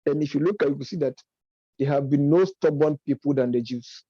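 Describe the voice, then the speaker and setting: A man's voice: on the high side, breathy edge, dry recording, muffled recording. A man giving an unscripted lecture-style commentary, arguing step by step and correcting himself as he goes. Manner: argumentative, self-correcting, measured delivery. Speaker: speaker on politics and religion.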